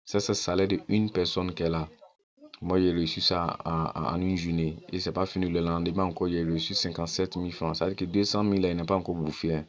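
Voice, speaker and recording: A very low-voiced man talks viewers through his account in a casual, persuasive tone. He hammers his points home by repeating them. The recording is dull and lo-fi. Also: little pitch movement, fast talker. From Ghana. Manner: repeats phrases insistently, persuasive. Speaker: network-marketing promoter. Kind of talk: casual screen walkthrough.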